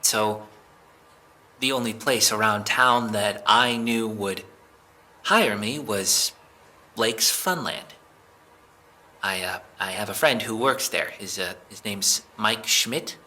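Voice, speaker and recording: Guy playing a nervous defensive young suspect in an audio drama, stammery and rambly, really bright edgy voice. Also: on the low side, slow, pauses often, full character voice. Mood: anxious, rambling. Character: flustered, defensive young male suspect under police interrogation.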